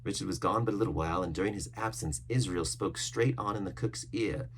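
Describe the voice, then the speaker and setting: Gravelly low-voiced man reading aloud. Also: brisk, a little hiss or hum. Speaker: storybook reader. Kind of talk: read-aloud narration.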